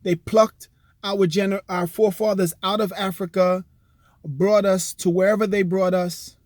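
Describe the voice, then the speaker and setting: A man's voice: high-pitched, little pitch movement, normal speed, darkish tone, no echo, studio quality. A man talking straight to his viewers in an earnest, persuasive way, often saying "you know" and restarting his sentences. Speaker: vlogger. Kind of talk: direct-to-camera commentary.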